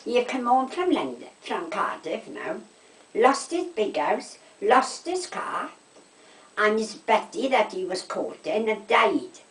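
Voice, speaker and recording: An older woman with a South Wales Valleys accent, telling gossipy stories in casual, animated chat. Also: mid-range voice, slow. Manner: animated anecdotes, quoting conversations, checking with "right?". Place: indoors at home. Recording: echoey room, distant microphone.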